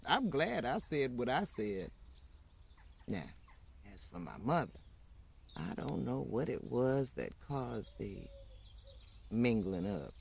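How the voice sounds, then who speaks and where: A woman playing an outspoken, unapologetic former maid, telling her story in a folksy, plainspoken way with dramatic pauses, in old-time radio style. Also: extremely low pitch, sing-song, gravelly, darkish tone, slight background noise, full character voice. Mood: wry, satisfied, then reflective.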